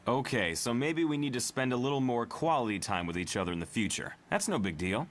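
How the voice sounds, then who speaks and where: A man acts out an earnest young superhero leader from a motion comic. His medium-pitched voice is colloquial and a little reproachful. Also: lively intonation, fairly quick, close to an everyday voice. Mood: conciliatory.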